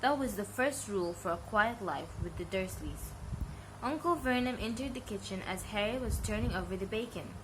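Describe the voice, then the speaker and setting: A woman's voice: mid-range voice, expressive, moderate pace, noisy background. A woman narrating a children's bedtime story as an amateur reader.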